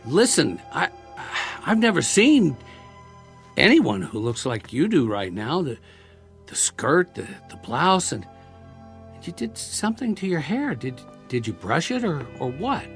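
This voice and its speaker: Animated, talkative American survivor. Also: male voice, a little high, creaky, soft background music, heavily acted. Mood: awed, tender. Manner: talkative, coaxing, halting when moved. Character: lonely, hopeful male war survivor, talkative and gently coaxing. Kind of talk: television drama acting.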